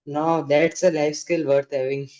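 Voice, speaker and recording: A man's voice: on the high side, level tone, measured pace, darkish tone. Man giving a polished answer on a call. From India. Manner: reads a polished answer, dry wry humor. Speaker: program participant. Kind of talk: prepared answer on a video call. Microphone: video call. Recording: muffled video call audio.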